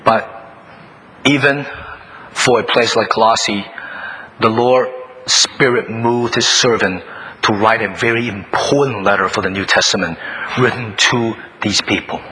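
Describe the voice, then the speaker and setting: A hoarse male guest pastor preaching a sermon in short phrases, pausing for an interpreter. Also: lowish voice, pushed and bright, slight background noise, poor audio. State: hoarse, losing his voice. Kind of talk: sermon delivered through an interpreter.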